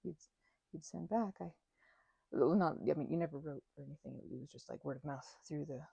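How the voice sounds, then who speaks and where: Casting: woman to play a nervous, self-effacing female vampire for ASMR roleplay; stammering, breathy delivery, very close and dry sound. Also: low voice, warm tone, dry recording, heavily acted. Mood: shy, grateful. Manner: stammering, halting, self-effacing, often dropping to a whisper. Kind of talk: intimate ASMR audio roleplay.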